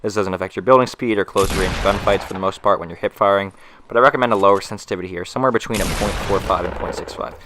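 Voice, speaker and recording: A man's voice: sits low, very fast, noisy background. A young man with a stuffy nose from a cold, talking loosely through a gaming tutorial voice-over with many fillers and restarts. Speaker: gaming YouTuber.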